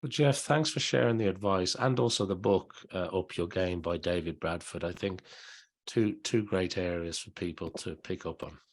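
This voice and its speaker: A male podcast host asking interview questions in a polite, measured way. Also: low-pitched.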